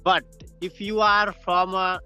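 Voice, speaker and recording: A man's voice: high-pitched, level tone, slow pace, pushed and bright, smooth, faint music behind. A young man from Bihar whose first language is Hindi, practicing English on a video call, hesitant and self-correcting, often laughing and saying "you can say". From Bihar, India.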